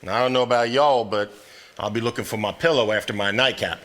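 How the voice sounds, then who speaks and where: Man acting a forceful, sardonic Southern man. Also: medium pitch, quick pace, lightly acted. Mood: easygoing. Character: gruff sarcastic Southern man, needling and blunt. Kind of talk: live stage play acting. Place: theater stage before a live audience.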